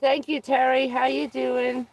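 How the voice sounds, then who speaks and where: A livestreamer chatting casually with viewers, stuffed up and losing their voice, who keeps clearing their throat. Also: high-pitched, level tone, no room sound, low-quality recording. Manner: frequent throat clearing, unhurried, rambling. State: congested and losing voice. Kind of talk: casual live stream chat with viewers.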